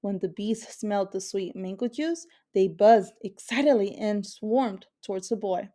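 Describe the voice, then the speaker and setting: A woman's voice: medium pitch, a little slow, mellow. A woman reading a children's picture book aloud as a storyteller. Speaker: story reader.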